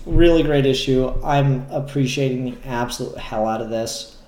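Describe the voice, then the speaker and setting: A man's voice: mid-range voice, normal speed, a little mellow, reverberant. A man giving casual, opinionated review commentary on comic books in a rambling, enthusiastic way.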